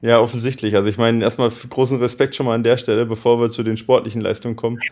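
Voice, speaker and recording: A German man hosting a casual interview, chatty and asking leading questions that end in a quick check for agreement. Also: medium pitch, little pitch movement, darkish tone. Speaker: interviewer, podcast host.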